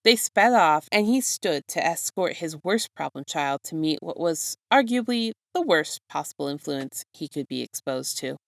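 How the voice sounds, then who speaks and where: A woman narrates a story in a low voice with plenty of creak. Her scripted reading is expressive, and the sound is close and dry. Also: moderate pace, hardly any pauses, no room sound. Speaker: narrator reading fan fiction.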